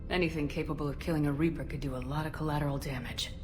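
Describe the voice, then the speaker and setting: An actress playing a tough, commanding military officer in a video game, blunt and authoritative. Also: female voice, low voice, steady tone, rapid-fire, natural voice. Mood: blunt, steely. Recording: music under the voice.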